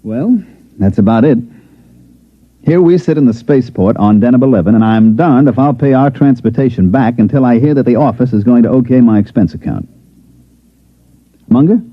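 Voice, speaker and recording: An American actor playing a wry, brash special agent telling his adventure in 1950s radio style, with a husky voice. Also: medium pitch, normal speed, lightly acted. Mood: wry, relieved. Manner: wry, informal storytelling. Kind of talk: 1950s radio acting, first-person narration. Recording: dull, muffled old radio broadcast.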